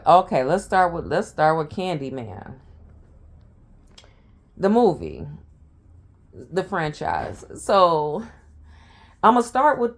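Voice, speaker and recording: Woman, forties, hoarse, lowish pitch, unhurried, casual rambling storytelling. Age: 40s. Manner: rambling, restarts and side notes, informal. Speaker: vlogger.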